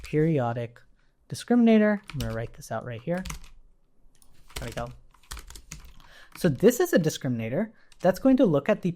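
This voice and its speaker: A man gives an informal programming lesson, thinking aloud as he works. His delivery is explanatory and very varied in pitch. He regularly checks in with the listener as he explains each step. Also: a little mellow. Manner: explanatory, often checks in with "right?". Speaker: programming instructor.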